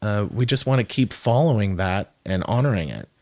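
A young man, a musician guest on a podcast, talking earnestly in a halting way with many restarts. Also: lowish voice, animated, a little mellow, no echo, clean studio sound. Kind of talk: casual interview chat.

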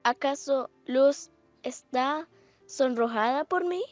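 High-voiced, bashful teenage girl, acted by a woman. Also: slow, no room sound, soft background music. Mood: smitten. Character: shy, smitten, easily exasperated teenage girl. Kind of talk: fan comic dub.

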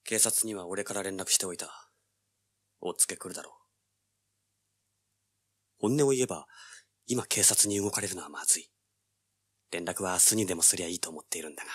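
An actor playing a self-assured, hard-boiled teenage treasure hunter, narrating and speaking in an audio drama. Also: male voice, sits low, brisk, pauses often, slightly rough. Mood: serious, guarded. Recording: music behind the voice. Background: background music.

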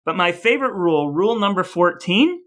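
A man's voice: high-pitched, animated, fairly quick, fairly bright tone. A man co-hosting a podcast, going through researched facts in an explanatory way. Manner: explanatory, laughs at his own points. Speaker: podcast co-host. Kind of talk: casual chat, reading out researched facts.

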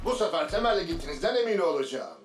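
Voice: medium pitch, big pitch swings, rapid-fire, lightly acted. A cocky young boy hero, played by an actor in a cartoon. He is eager and bold. The voice has a roomy echo with faint music behind. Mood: defiant. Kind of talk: Turkish cartoon dubbing.

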